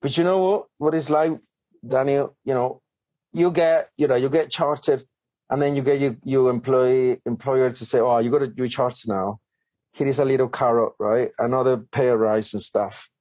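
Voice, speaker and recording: A man's voice: medium pitch, steady tone, moderate pace, warm tone, no room sound. A man telling his own story as a guest in an interview, in a chatty way with many fillers and restarts. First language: not English. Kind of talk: conversational interview.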